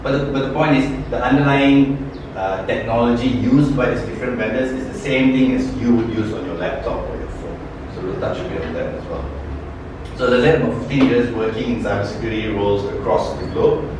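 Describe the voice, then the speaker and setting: A man's voice: medium pitch, fairly quick. A man in his forties from Malaysia, giving a cybersecurity training session in a conversational way, often correcting himself and asking rhetorical questions. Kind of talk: workshop presentation. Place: training room. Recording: echoey room, far from the mic, noticeable noise.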